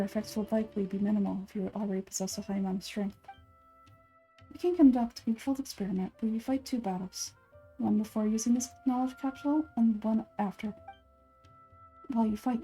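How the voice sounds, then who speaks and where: A woman voicing an aloof, calculating male scholar from a video game, speaking in a formal, measured, clinical way. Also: medium pitch, steady tone, mellow, faint music behind, natural voice, poor audio. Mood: analytical, detached. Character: aloof, scholarly young man, calculating and composed researcher. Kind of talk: game dialogue read aloud.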